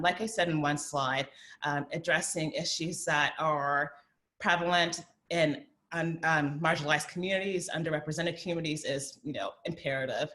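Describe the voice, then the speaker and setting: A woman's voice: sits low, pushed and bright. A woman in her thirties from the American South, speaking thoughtfully at a community forum and answering questions, with frequent fillers. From Southern United States. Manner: thoughtful, many fillers, quick bursts. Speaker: guest speaker. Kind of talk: forum talk and Q&A.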